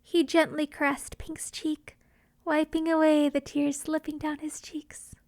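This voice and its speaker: A woman narrating a scripted romantic story in an expressive, theatrical storytelling manner. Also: high voice, unhurried, no room sound, clean studio sound. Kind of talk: scripted dramatic fan fiction narration.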